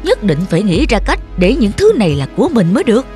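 Bossy, greedy rich village master. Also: male voice, high-pitched, wildly swooping pitch, fast talker, a little mellow. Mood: envious, scheming. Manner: bossy, scolding, scheming. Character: greedy, bullying fat rich village master, middle-aged man. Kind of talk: animated folk tale acting. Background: music.

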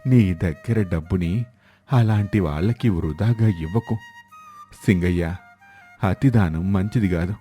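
A man playing a sober, well-meaning village friend who advises in a measured way in a moral story. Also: low voice, dark tone, soft background music. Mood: admonishing. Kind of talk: moral story character acting.

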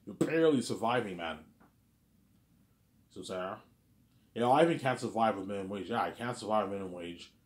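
A man's voice: fairly low pitch, a little fast, pauses often, echoey room. A man in his thirties rambling casually in a monologue to his viewers, repeating himself and stumbling over words. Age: 30s. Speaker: vlogger.

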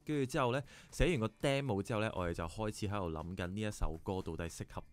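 A man with a Hong Kong Cantonese accent, a band bassist telling stories in a rambling, animated way in a radio interview. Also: fast.

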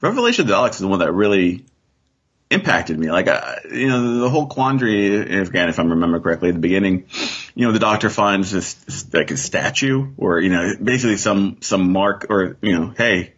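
A man's voice: deep voice, animated, fairly quick, fairly bright tone, slightly rough. A man chatting casually as a podcast guest, rambling and thinking aloud as he goes. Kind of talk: casual chat. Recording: dull, muffled lo-fi sound.

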